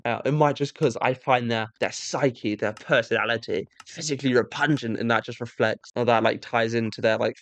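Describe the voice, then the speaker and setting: A young man ranting sarcastically and with exasperation to his audience, breaking into sudden high-pitched outbursts. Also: no echo. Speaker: vlogger. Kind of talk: comedic rant to camera.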